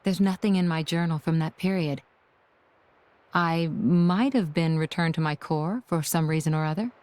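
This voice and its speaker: An actress voicing a calm, polite female knight companion. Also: sits low, moderate pace, no room sound, close to an everyday voice. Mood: composed.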